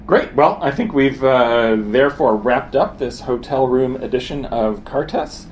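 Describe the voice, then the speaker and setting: A man's voice: mid-range voice, unhurried, slight background noise. A man co-hosting a podcast in casual chat from a hotel room, dry and a little hesitant. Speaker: podcast co-host.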